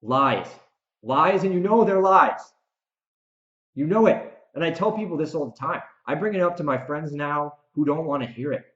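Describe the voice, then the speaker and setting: A man's voice: on the high side, expressive, moderate pace, fairly bright tone. An American man hosting a solo podcast, ranting casually and emphatically, speaking straight to the listener with strong opinions. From United States. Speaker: podcast host and author. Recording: dull, echoey room recording.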